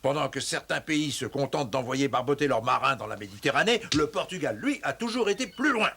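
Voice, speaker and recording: A man's voice: mid-range voice, animated, a little fast, creaky, sharp tone, slight background noise. A man playing a nostalgic, proud old seafarer who instructs and reminisces in a dubbed cartoon. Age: older. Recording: music behind the voice.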